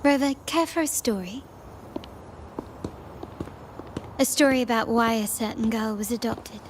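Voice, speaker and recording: A woman's voice: a little high, fairly quick, slight background noise, lightly acted. A woman voicing a poised, proud and resolute young heiress in dramatic video game dialogue. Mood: cool, intimate. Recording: music behind the voice.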